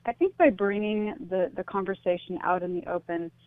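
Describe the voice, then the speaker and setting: A middle-aged American woman speaking as a radio interview guest, reflective and halting as she restarts her thoughts. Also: fairly low pitch, dry recording. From United States. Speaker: interview guest, suicide-loss advocate. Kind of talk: earnest radio interview conversation. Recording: muffled phone-like radio line.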